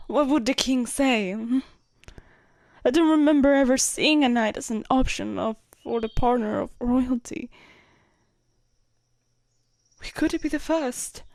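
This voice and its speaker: A woman's voice: a little high, unhurried, darkish tone, no room sound. A woman playing a devoted, lovestruck knight, shy and conflicted, in an intimate romantic audio roleplay, speaking hesitantly and tenderly. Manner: hesitant, tender, breathy whispers at times.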